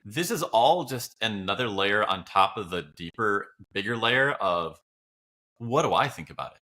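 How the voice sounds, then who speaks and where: A man's voice: on the low side, lively intonation, moderate pace, sharp tone. A man co-hosting a podcast in a casual, reflective style, musing loosely as he thinks aloud. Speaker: podcast co-host.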